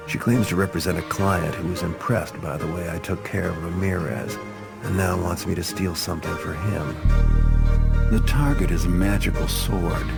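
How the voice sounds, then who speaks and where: A cynical master thief for a noir video game, his very low voice rough with gravel. He narrates in a dry, understated deadpan, with loud music behind him. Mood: wary, matter-of-fact. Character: cynical, wry independent master thief, adult man.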